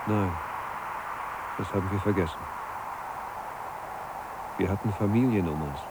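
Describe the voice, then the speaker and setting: Anxious dreamer archivist, lowish voice, earnest and musing, old radio play off noisy tape. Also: male voice, measured pace. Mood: wistful. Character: anxious, dreamy town archivist longing to escape. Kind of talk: 1980s German radio drama acting.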